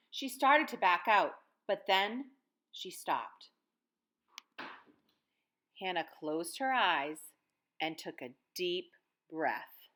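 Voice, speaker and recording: A woman's voice: on the low side, sharp tone. An American woman in her thirties, a teacher reading and talking to young children in simple, guiding phrases. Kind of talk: picture-book read-aloud and lesson for children.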